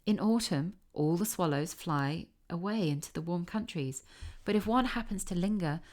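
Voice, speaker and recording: A woman with a slightly low voice narrates a storybook tale. She reads aloud with care and expression, as a storyteller does. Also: expressive, moderate pace, a little mellow.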